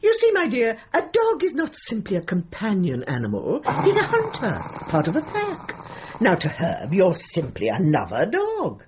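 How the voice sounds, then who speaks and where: An actress playing a haughty, brisk older dog trainer in a radio comedy drama, with a British accent and an imperious, old-fashioned, patronising manner. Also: female voice, mid-range voice, wildly swooping pitch, darkish tone, muffled recording, slight background noise. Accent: British English.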